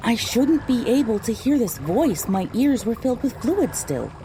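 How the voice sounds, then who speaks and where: A woman reading scripted first-person audiobook narration in a measured, dramatic storytelling manner. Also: on the high side, very animated, warm tone, slight background noise. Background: music.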